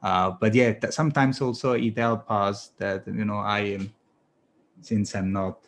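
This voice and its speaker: A man's voice: on the low side, a little slow, a little hiss or hum. A man with an Italian accent, teaching English on a video call and giving encouraging feedback with many fillers. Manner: relaxed, encouraging, full of fillers. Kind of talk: online class feedback round.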